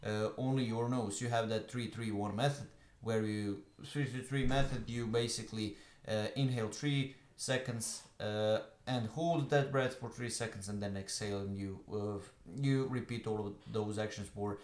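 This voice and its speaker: A man's voice: fairly low pitch, steady tone, moderate pace, a little mellow. Croatian-accented young man, echoey room. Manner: earnest, many fillers. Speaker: online business coach. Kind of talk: motivational talk to camera. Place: room at home, evening.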